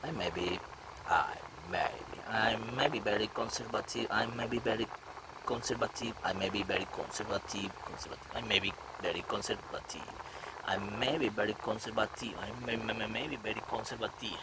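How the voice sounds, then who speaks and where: A man practicing English lines out loud while painting, repeating phrases and translating them to Spanish. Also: lowish voice, steady tone, a little fast. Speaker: language learner drawing while practicing. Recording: noisy home recording.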